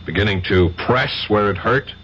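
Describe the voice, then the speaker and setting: An actor playing a wry, stubborn American federal detective in a radio drama, sardonic and informal. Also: male voice, fairly low pitch, normal speed, a bit bright, lightly acted. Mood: wry frustration. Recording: muffled, hissy vintage radio recording.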